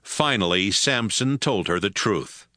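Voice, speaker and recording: A man narrating scripture in a steady, even storytelling manner. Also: on the low side, measured pace, dry recording, studio quality.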